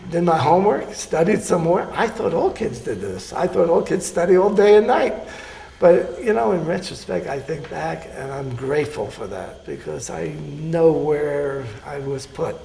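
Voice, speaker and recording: An older man recounts his life in a halting, unhurried way, full of pauses and fillers. His voice is gravelly and a little high. He sounds as if he is in an echoey room. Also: darkish tone. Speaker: surgeon interviewee. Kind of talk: oral history interview.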